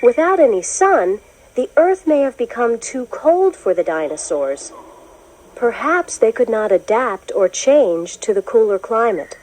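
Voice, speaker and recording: A woman narrating a children's educational book, reading in a clear, measured, explanatory way as if to young listeners. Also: fairly high pitch, very animated, a little mellow, rough recording. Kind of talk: scripted storybook narration.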